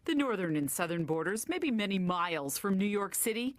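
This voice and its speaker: A woman reporting for local TV news, delivering her story in a brisk, conversational way. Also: medium pitch, very animated.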